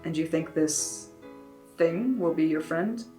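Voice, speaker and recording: A woman voicing a wary father who talks slowly with dry understatement. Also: dark tone, faint music behind. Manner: slow, dry and low-key.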